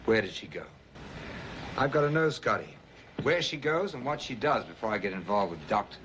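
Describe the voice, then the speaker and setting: An actor playing a worried, polished businessman husband in a 1950s film drama, telling his story gravely and with measured phrasing. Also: on the low side, very animated, fairly bright tone, lo-fi sound. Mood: troubled, urgent. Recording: old film soundtrack, faint background noise.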